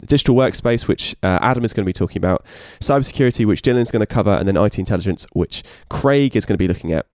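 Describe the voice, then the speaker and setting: A man hosting a podcast at a rapid clip, his voice sitting on the low side. He asks questions in a quick, playful, chatty way while music plays underneath. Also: darkish tone, no room sound, studio quality. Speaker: podcast host.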